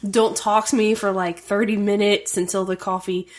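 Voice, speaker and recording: A woman's voice: mid-range voice, level tone. A woman co-hosting a casual podcast chat with her husband, stuffy from a passing cold and laughing often as she teases him. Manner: laughs often, teasing, quick asides. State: stuffy nose, slightly sore throat. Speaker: podcast co-host.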